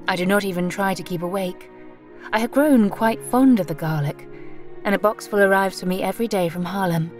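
Actress as a low-pitched young Victorian lady. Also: close to an everyday voice. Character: gentle young Victorian lady confiding in her diary. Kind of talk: audio drama diary narration. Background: music.

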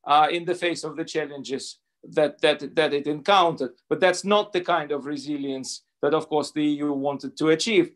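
Guy, middle-aged, panel talk over a video call, restarts words, kinda high voice. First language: Russian. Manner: earnest, argumentative, repeats words as he restarts. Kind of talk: panel discussion remarks. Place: home room indoors.

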